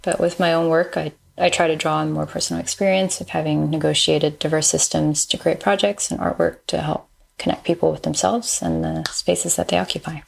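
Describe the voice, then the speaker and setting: A woman in her thirties from Malaysia, a designer and engineer answering interview questions thoughtfully, often pausing with ums. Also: low-pitched, fairly even intonation, creaky. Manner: thoughtful, pauses with frequent ums. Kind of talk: casual interview chat.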